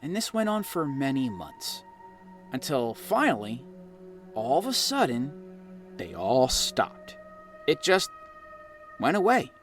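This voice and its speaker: A middle-aged American man tells a personal spooky tale. His mid-pitched voice moves around expressively, with dramatic pauses, over background music. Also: huge pitch swings. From New Jersey, United States. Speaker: guest storyteller reading own experience. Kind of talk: personal ghost story narration.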